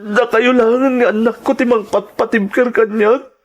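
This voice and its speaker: A man's voice: extremely high pitch, steady tone, moderate pace. A man with an Ilocano accent playing an aggrieved, protective father, pleading emotionally in a radio drama. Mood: hurt, tearful.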